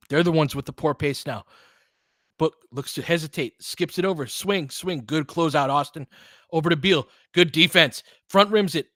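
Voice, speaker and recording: A man's voice: high-pitched, fairly bright tone, no echo, clean studio sound. A man hosting a casual live basketball play-by-play, mixing chatty asides with short, clipped calls of the action. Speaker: host doing fan play-by-play of a basketball game. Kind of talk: live sports commentary mixed with casual chat.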